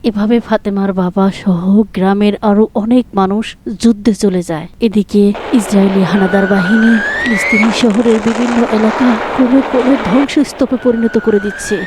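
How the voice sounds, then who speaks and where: A woman narrating a cartoon story in a calm, measured storytelling style. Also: mid-range voice, noisy background.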